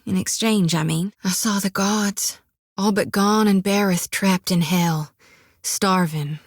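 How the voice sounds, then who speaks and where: An actress voicing a plucky young priestess in a video game, who talks in a plainspoken, folksy rural drawl. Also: female voice, medium pitch, no room sound, studio quality, natural voice. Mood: earnest, then grim. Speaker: companion character. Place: recording studio.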